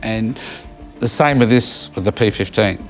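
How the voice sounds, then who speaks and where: An Australian man with a fairly low voice chats casually in an interview, looking back on his working life. His phrasing is informal and halting, and there is music along with his voice. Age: middle-aged or older. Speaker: interviewed live sound engineer and audio company owner.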